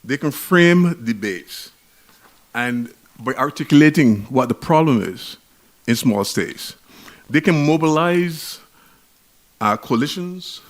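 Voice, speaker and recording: A man with a Barbadian accent giving an academic conference lecture in a measured, emphatic way, often restarting his words. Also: mid-range voice, expressive. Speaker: conference speaker.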